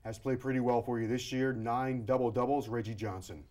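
A man hosting a college sports TV show, introducing topics and questioning the coach in a slow, deliberate delivery. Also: medium pitch, fairly even intonation, darkish tone. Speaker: TV show host. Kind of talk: studio sports interview. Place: TV studio set. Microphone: lapel mic.

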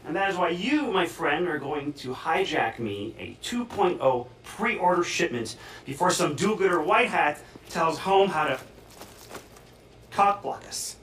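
An actor playing a manic, foul-mouthed young punk hacker in a video game, theatrical and wildly excitable. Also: male voice, on the high side, a little slow, echoey room, distant mic, poor audio. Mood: scheming, hyped. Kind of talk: video game cutscene acting.

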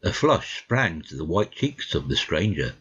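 A man with a husky voice giving a scripted narration of a classic story for an audiobook, slightly muffled. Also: low voice, very animated, a little slow, a bit bright.

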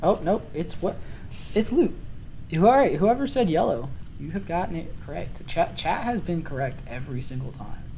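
A man's voice: a little high, very animated, moderate pace, warm tone, slight background noise. A young man streaming a game, chatting casually to his viewers and wondering aloud about his next move. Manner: chatty, asks chat, laughs often.

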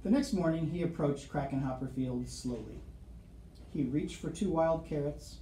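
Mellow man reading a picture book aloud. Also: a little high. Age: middle-aged. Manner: dramatic, suspenseful pauses. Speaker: school storyteller reading to children. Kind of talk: expressive picture-book read-aloud with asides to children. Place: small office, seated at desk. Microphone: camera across the desk. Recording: roomy office sound, faint background noise.

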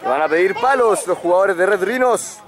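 Chilean guy doing live rugby play by play outdoors, bit high voice, crowd roaring behind. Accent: Chilean Spanish. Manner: quick, excited calls of the action, pitch jumping up on big moments. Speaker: match commentator.

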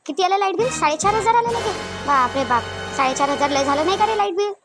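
A woman voicing a domineering, thrifty, quick-tempered cartoon mother-in-law with a Varhadi Marathi accent, nagging in repeated rhetorical questions. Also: very high-pitched, expressive, loud music behind the voice. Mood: shocked. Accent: Varhadi Marathi (Vidarbha). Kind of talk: comedic cartoon dialogue.

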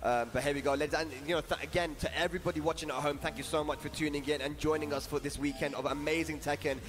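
Male esports caster, hoarse from the weekend, quick and high, yells on the big plays. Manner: excited, shouts at big moments. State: losing his voice. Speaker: tournament commentator. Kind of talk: esports play-by-play commentary.

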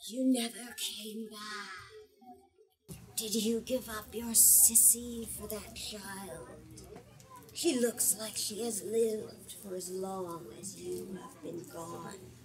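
A woman voicing a brooding female forest spirit in a slow, halting, grieving way for a storybook reading. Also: medium pitch, creaky, crowd noise. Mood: mournful, brooding. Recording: distant from the microphone.

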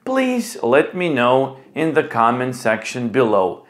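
A middle-aged man speaking as an English teacher, with deliberate, clearly enunciated delivery. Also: medium pitch, sing-song. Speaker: ESL teacher and video host. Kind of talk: presenting to camera and leading a children's class.

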